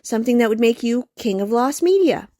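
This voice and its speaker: A woman's voice: fairly high pitch, expressive, fairly quick, warm tone, no room sound, studio quality, lightly acted. An actress in a comic radio play playing a smug, scheming young woman with a sardonic, haughty, taunting manner.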